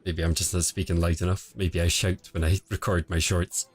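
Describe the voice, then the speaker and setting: A man streaming casual commentary on a mobile game match, quiet and relaxed, answering chat between calls of the play. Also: normal speed. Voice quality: quiet, low-level voice. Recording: home stream audio with faint music behind. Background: faint background music.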